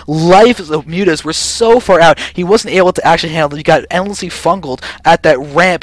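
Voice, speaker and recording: A young man giving live play-by-play commentary on a strategy game match, with an excitable, emphatic delivery. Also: on the high side, dry recording. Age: young adult. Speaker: esports commentator.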